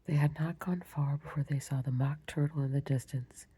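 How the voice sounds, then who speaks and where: A storyteller whispering a bedtime story and breaking off for soft, apologetic, chatty asides. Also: medium pitch, steady tone, normal speed, breathy edge. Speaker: storyteller reading aloud.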